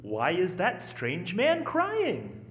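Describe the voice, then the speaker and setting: Know-it-all kid in a pageant, earnest and stiff, echoey hall, audio drama. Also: female voice, on the low side, huge pitch swings, very slow, exaggerated voice. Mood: stiff recital. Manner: earnest, pedantic, stilted recital. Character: pedantic know-it-all child scout in a school pageant. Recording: echoey hall, long reverb.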